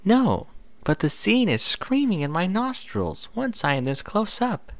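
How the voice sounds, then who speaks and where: Man putting on a high, merry cartoon pony girl. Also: very animated, measured pace, vocal fry, no room sound, heavily acted. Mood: eager. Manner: merry, playful, exclaiming. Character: merry, excitable young female cartoon pony.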